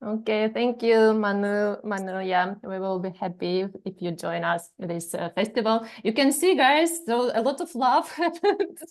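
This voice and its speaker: A woman's voice: medium pitch, steady tone, a little slow, few pauses. Hesitant woman hosting a video call. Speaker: host of an online orientation. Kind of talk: casual, hesitant presenting with many fillers.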